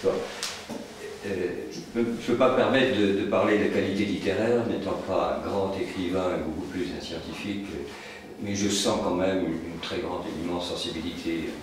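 A man's voice: low voice, fairly even intonation, normal speed. A man from the Paris area asking a long, roundabout question at a book evening, hesitant, wordy and polite. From France, Paris area. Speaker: audience questioner, social scientist. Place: indoor meeting room at a public book event. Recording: very echoey room, distant mic, faint noise.

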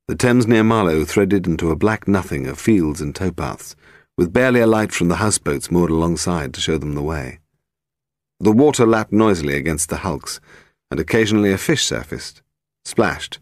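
A man with a British accent and a husky voice, reading scripted fiction as an audiobook narrator. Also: low voice, a little mellow, no echo, clean studio sound.

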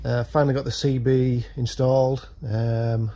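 A man's voice: medium pitch, level tone, normal speed, dark tone, faint music behind. A man giving casual, hesitant vlog commentary, thinking aloud as he works inside a car. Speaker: vlogger showing a DIY vehicle project.

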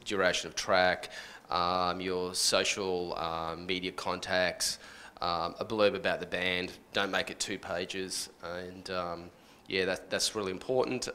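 A man's voice: sits low, sharp tone. A middle-aged man talking casually as a panellist in a live room. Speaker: panellist working with artists. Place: indoor music venue, panel stage. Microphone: handheld microphone. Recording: faint noise, live room ambience.

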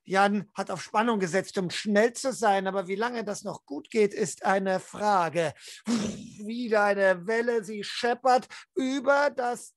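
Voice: mid-range voice, no echo. Dramatic storyteller with a dry, close sound. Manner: slow dramatic pauses, vivid descriptions. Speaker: game master, storyteller. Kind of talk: dramatic improvised narration of a tabletop role-play. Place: indoor room, video call.

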